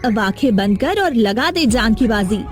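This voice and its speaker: A woman's voice: on the high side, expressive, normal speed, over music, natural voice. A woman voicing an anxious, determined young village woman in a cartoon, earnest and dramatic.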